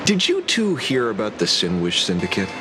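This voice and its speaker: A man voicing a gruff, wry man in debt in a video game. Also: on the low side, huge pitch swings, normal speed, music in the background.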